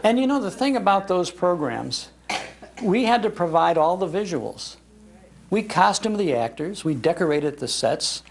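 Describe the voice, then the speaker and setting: A man's voice: sits high, very animated, moderate pace. An older man with an American accent giving a nostalgic talk in an emphatic storytelling manner. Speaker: radio historian and broadcaster giving a talk. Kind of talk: nostalgic storytelling to a live audience. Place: public library talk.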